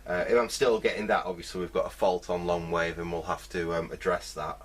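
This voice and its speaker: A man's voice: low voice, flat delivery, fairly quick. A man giving casual, hesitant, rambling hands-on commentary as a repair video host. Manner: rambling, hesitant, thinking aloud.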